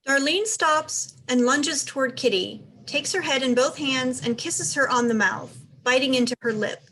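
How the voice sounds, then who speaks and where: A woman's voice: sits high, moderate pace, bright, forceful tone, a little hiss or hum, low-quality recording. A woman giving scripted narration, reading out the stage directions of a play.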